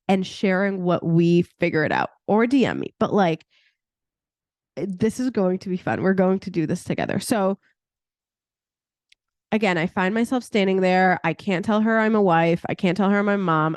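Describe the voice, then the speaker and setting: A woman's voice: lowish voice, a little mellow, dry recording. A woman in her thirties hosting her own podcast in a chatty, casual way, peppering her talk with "like" and laughing at herself. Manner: chatty, laughs often, fillers like "like". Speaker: podcast host.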